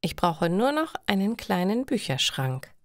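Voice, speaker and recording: A woman voicing a cheerful, contented young female student in a storybook, with slow, friendly phrasing. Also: mid-range voice, very animated, dry recording, studio quality, pitched above the performer's own voice.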